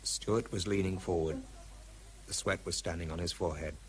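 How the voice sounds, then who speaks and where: Deep, understated English man, an actor in radio drama. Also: fairly even intonation, close to an everyday voice. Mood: sober narration. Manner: understated, measured, terse. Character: dry, sober British scientist narrating his wartime story. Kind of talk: radio drama narration and dialogue.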